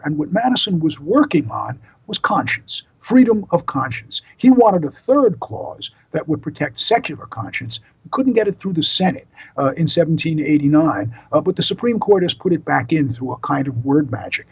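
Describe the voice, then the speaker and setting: An older man with an American accent, explaining as an interview guest in a deliberate, emphatic, professorial way. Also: a little high, husky. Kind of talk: explanatory interview talk, lecturing.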